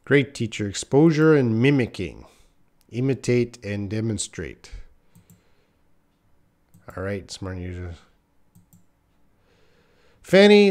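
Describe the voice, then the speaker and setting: A man's voice: medium pitch, darkish tone. A man in his thirties from Western Canada with a light Canadian accent, patiently answering viewers' questions in a live English lesson. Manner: slow, patient explaining with many pauses. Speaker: English teacher. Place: small indoor room by a whiteboard.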